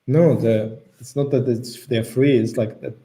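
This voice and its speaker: Austrian guy chatting on a live q&a, mellow darkish voice, kinda hesitant, restarts a lot. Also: mid-range voice, measured pace. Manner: relaxed, hesitant, restarts sentences. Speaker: co-host of a travel Q&A. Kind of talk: casual live chat.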